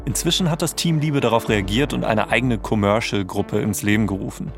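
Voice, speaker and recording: A man's voice: fairly low pitch, a little fast, vocal fry, soft background music. A man co-narrating a documentary podcast, giving scripted background explanations with a wry touch. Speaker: co-narrator adding background facts.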